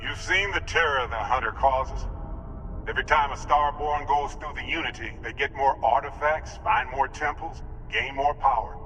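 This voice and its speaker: An actor playing an earnest, protective otherworldly emissary in a video game, speaking gravely and sincerely. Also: male voice, medium pitch, moderate pace, pushed and bright, faint music behind, poor audio. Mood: wistful, urgent. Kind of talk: dramatic video game acting.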